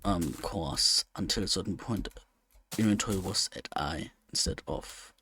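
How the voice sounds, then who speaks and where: A man commentates his gameplay in a casual, unscripted way. His voice is on the low side and gravelly with creak. He speaks very slowly and hesitantly, rambling as he thinks aloud. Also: bright, forceful tone, slight background noise. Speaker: let's play host.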